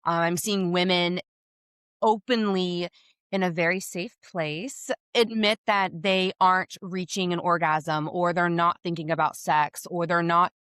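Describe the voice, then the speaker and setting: A woman with a low, slightly scratchy voice who speaks openly and with confidence, explaining hormone care to a podcast host. She is recorded dry and close, with no room sound. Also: a bit bright, dry recording. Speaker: women's hormone health provider. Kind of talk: podcast interview.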